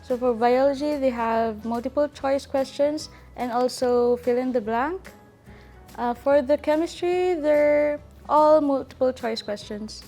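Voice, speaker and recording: A high-pitched woman from the UK, a medical student, explaining the exam step by step with hesitant pauses. Faint music plays underneath. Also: dark tone. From United Kingdom. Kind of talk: interview answers.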